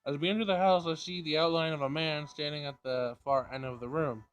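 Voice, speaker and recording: Higher-voiced man reading game narration aloud. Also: fairly even intonation, fairly quick, smooth, dull audio. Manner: stumbles over written words and rereads. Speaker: gamer reading a visual novel aloud.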